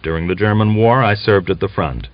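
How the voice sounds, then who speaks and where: Man reading as an earnest, formal officer, low voice. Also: brisk, no echo, lightly acted. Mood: earnest, persuasive. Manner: formal, emphatic, persuasive. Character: earnest, anxious Russian officer defecting, formal, educated. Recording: dull lo-fi audiobook recording.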